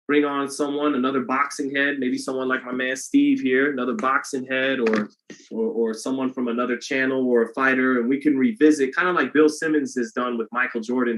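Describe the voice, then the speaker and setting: A man hosting a boxing livestream in a loose, rambling way, talking to his chat with a flat, monotone delivery. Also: mid-range voice, low-quality recording. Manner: monotone delivery, self-described; loose and rambling, many restarts. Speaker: boxing commentator and livestream host. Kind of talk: casual livestream chat, reading donations.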